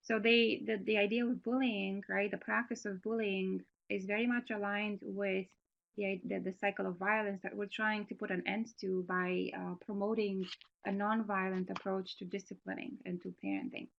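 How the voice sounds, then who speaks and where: Romanian-accented woman presenting over a video call, bit of vocal fry, audio slightly muffled, lots of uhs and ums. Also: medium pitch, level tone, normal speed. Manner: hesitant, many fillers and restarts, earnest. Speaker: guest presenter on parenting programs. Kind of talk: webinar presentation with audience Q&A.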